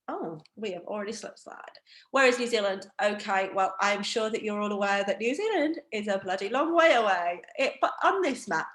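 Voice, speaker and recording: A woman's voice: mid-range voice, fairly bright tone, roomy sound. A woman with a Northeast English accent giving a chatty, self-deprecating online wine training session over a video call. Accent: Northeast England. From Northeast England. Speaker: wine educator. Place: home room.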